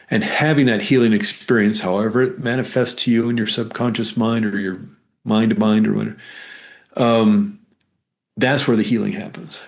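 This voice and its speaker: A man with a fairly low voice speaks as a guest in a conversational interview. He explains and tells stories in a rambling manner, often halting and repeating words as he goes. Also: vocal fry, a little mellow. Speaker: guest, hypnotherapist.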